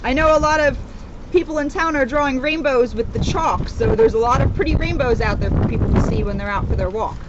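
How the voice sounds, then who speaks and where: A woman's voice: high voice, a little fast, lo-fi sound, background noise. A woman police officer speaking to her community in a storybook read-aloud and informal style. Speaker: police officer reading a children's book to the community.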